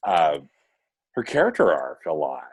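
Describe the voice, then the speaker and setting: A man co-hosting a casual film discussion podcast, earnest and halting, often rephrasing mid-thought. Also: a bass voice, wildly swooping pitch, husky, dry recording. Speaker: podcast co-host reviewing animated films.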